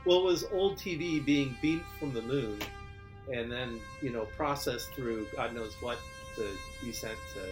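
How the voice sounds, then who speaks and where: A man in his fifties from Oregon is interviewed and tells his memories in a chatty, animated way. His voice sits at a middle pitch. Clear guitar music plays behind him. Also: moderate pace. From Oregon, USA. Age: 50s. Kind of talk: casual reminiscing.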